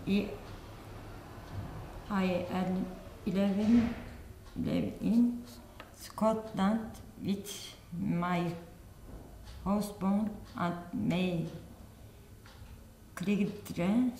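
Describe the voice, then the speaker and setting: A middle-aged woman reads and answers in basic English, halting between single words and correcting herself. Her speech is extremely slow. Her voice is mellow, and the small studio gives it a roomy echo with a faint hiss. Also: medium pitch, steady tone. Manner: halting, word by word, self-correcting. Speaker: adult English learner. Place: small studio set, indoors.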